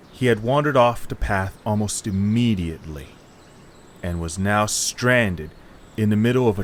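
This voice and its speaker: Low-voiced guy narrating a story, steady and measured. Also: slight background noise.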